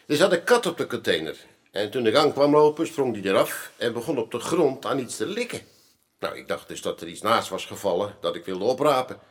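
A man's voice: medium pitch, sing-song, brisk. A man playing a blunt, chatty pub landlord in a radio drama. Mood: chatty, recounting. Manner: blunt, colloquial, bossy. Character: gruff, chatty male Amsterdam pub landlord. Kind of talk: Dutch radio drama acting.

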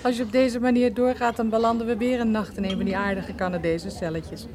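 An actress playing a detective's sceptical, weary female companion in a radio drama, wry and gently chiding. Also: fairly high pitch, steady tone, fairly quick, a crowd in the background, close to an everyday voice.

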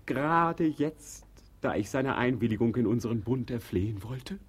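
Man as husky, ardent young lover. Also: medium pitch, moderate pace, lightly acted. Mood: dismayed. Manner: melodramatic. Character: ardent, earnest young suitor. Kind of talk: German radio play acting of classic comedy.

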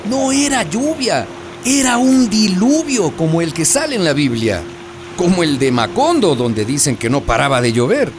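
An actor playing a folksy, dramatic storyteller narrating a radio drama. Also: male voice, high-pitched, sing-song, normal speed, background noise. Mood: storytelling. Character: folksy male storyteller recounting a neighbourhood's disaster. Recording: music playing behind.

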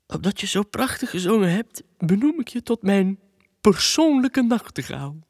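An actor playing a vain, childish, pompous emperor with a Flemish accent in a comic children's radio drama. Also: male voice, sits high, big pitch swings, moderate pace, darkish tone, husky, heavily acted. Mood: moved, imperious. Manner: pompous, dithering, theatrical.

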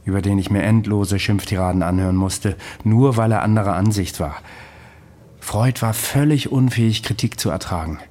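A man's voice: fairly low pitch, normal speed, a little mellow, close to an everyday voice. An actor in a German radio drama playing an ageless, worldly-wise man who recounts his past in a dry, matter-of-fact way. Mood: dry, weary recollection.

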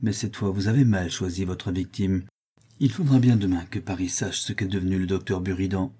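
Young male scholar, scornful and declamatory, husky and low, read by a male narrator. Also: fairly even intonation, a little fast, warm tone. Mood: defiant. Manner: declamatory, accusing.

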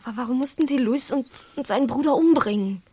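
A young widow in a radio drama, with a breathy, slightly high voice. She sounds vulnerable and intimate, questioning and softly teasing, very close and dry. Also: quick pace, no echo. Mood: anxious, questioning. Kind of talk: German radio drama acting.

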